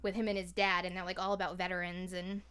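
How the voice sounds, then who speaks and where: A woman's voice: lowish voice, level tone, quick pace, a bit bright, smooth. A woman from New England chatting casually as a podcast guest, telling stories informally and swearing freely. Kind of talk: casual chat.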